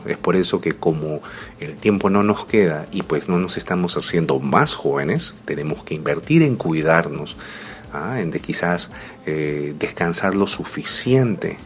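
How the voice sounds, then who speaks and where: A man in his thirties giving a reflective motivational talk, speaking straight to the listener and often asking rhetorical questions. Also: low-pitched. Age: 30s.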